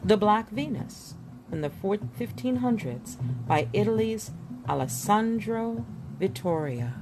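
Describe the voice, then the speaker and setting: A woman reading a history book aloud expressively, with theatrical emphasis and pauses. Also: mid-range voice, big pitch swings, rough recording. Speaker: book reader. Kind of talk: expressive read-aloud of an illustrated history book, with spoken asides. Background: music.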